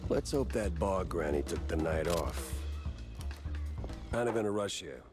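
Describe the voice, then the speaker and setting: Noir cartoon game lead: sardonic hardboiled detective, extremely low voice, slow deadpan drawl, music behind. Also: male voice. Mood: musing, wry. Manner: deadpan, wry. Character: world-weary, sardonic hardboiled mouse detective, war veteran. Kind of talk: 1930s noir cartoon game acting and narration.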